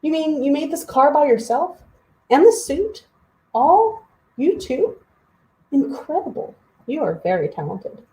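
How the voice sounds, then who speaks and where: A woman voicing a gracious, curious young storybook princess with a warmly admiring manner. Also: high-pitched, very animated, unhurried, long pauses, reverberant, voice pushed up, low-quality recording. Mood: amazed. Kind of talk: storybook character voice.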